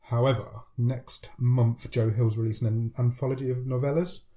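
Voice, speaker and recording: A man's voice: medium pitch, steady tone, normal speed, dark tone. A man with a cold co-hosting a podcast, chatting casually and laughing often as he runs through news. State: has a cold. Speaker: podcast co-host. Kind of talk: casual chat.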